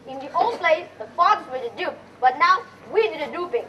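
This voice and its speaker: A woman's voice: very high voice, animated, a little fast, sharp tone, exaggerated voice. A young woman playing a scheming, bickering father in an amateur stage farce. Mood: theatrical, resigned. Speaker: student actor. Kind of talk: amateur stage play acting. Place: school stage, recorded from afar. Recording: distant mic, noisy room.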